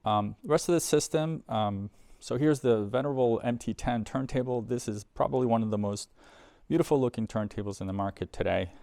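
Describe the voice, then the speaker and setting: A man's voice: medium pitch, a little fast, gravelly, warm tone, no echo. A man giving a casual, explanatory product demonstration in an informal way, as a presenter for an equipment seller.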